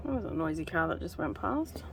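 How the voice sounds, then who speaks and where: A woman chatting in a relaxed, rambling way while she stitches for her craft vlog. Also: on the low side, a little fast, gravelly, background noise. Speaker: craft vlogger. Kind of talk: casual chat while stitching.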